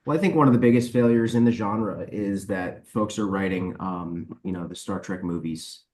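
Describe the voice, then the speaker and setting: A man's voice: low voice, moderate pace, a little mellow. A man speaking casually and emphatically as an author and publisher guest in a podcast panel discussion. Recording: roomy echo on voice.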